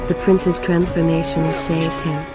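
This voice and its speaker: A woman playing a calm, knowing storyteller who narrates a video game in a solemn, mysterious, measured way. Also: deep voice. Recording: loud music under narration.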